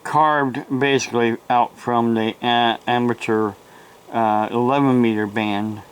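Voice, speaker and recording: An American man hosting an informal, unscripted hobby vlog, talking in a halting, rambling way with long pauses. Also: mid-range voice, steady tone, clean tone, poor audio. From United States. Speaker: YouTube radio hobby host.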